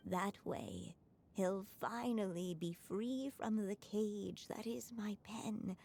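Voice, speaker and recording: An actress playing a gentle, wistful woman storyteller in a video game, reflective and soft-spoken, with a breathy voice that often drops near a whisper. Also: mid-range voice, slow pace, slight background noise. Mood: quietly hopeful. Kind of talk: scripted video game dialogue.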